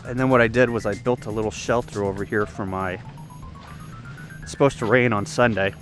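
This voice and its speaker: A man's voice: fairly low pitch, fairly even intonation, fairly quick, a bit bright. Man giving a casual walkthrough over music. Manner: informal, explanatory, thinking aloud. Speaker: ham radio hobbyist giving a video tour. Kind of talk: casual unscripted walkthrough of a camp setup. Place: outdoor campsite.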